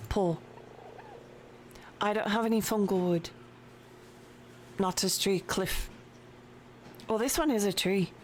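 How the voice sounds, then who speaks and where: A woman streaming a game at her home desk, chatting casually and slowly with her viewers and her pet. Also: medium pitch, steady tone, slight background noise. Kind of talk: casual live-stream chat while playing. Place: home desk with a cat nearby.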